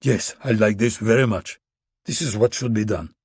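A man's voice: on the low side, normal speed, darkish tone, husky, dry recording, studio quality. A man voicing a frightened male Russian agent with a thick Russian accent, pleading. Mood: compliant.

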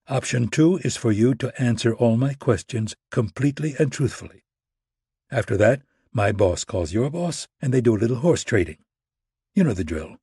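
A man voicing a cold, menacing male operative who talks low and clipped. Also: mellow, breathy edge, no echo, clean studio sound.

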